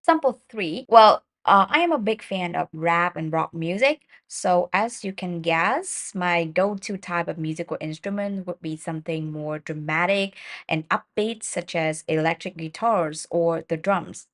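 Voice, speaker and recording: A woman who works as an English speaking tutor, announcing each exam question and then reading model answers in a steady, explanatory way, with occasional fillers and small restarts. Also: fairly low pitch, unhurried.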